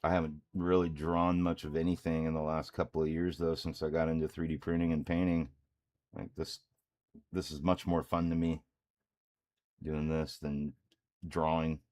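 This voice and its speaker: A man's voice: extremely low pitch, moderate pace. A man talking casually and rambling while he paints, with frequent chuckles. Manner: relaxed, rambling, chuckles often. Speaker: miniature painter and streamer.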